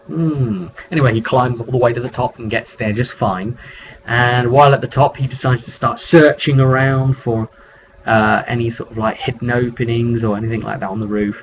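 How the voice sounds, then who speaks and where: A man's voice: medium pitch, steady tone, normal speed. A man casually recounting a tabletop roleplay session as game master, off the cuff and conversational, in a rambling way. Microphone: webcam microphone. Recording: dull lo-fi webcam audio with noise.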